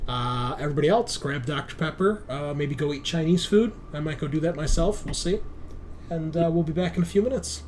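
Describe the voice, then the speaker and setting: A man's voice: fairly high pitch, a little fast, a little mellow. A man hosting a tabletop game as game master, narrating casually with joking, sweary banter. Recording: background noise, room ambience.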